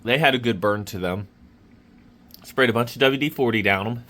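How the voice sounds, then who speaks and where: A man's voice: medium pitch, moderate pace, a bit bright. A man with a sore throat, his voice a little off, rambling casually in a home garage as he walks through an old motorcycle. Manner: rambling, with many fillers and restarts. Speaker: motorcycle restoration vlogger. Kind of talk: casual walkaround vlog.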